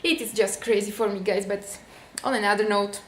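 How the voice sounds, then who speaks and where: A woman's voice: medium pitch, fairly even intonation, brisk, reverberant. A young woman from Slovakia with a Slovak accent, venting casually to camera in a vlog, with many fillers. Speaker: vlogger.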